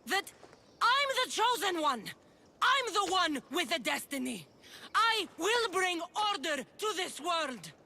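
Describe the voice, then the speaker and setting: An actress playing a bitter, defiant warrior champion in a video game, challenging and intense in manner. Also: female voice, very high-pitched, sing-song, slow, sharp tone, exaggerated voice. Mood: furious taunting. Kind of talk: dramatic video game voice acting.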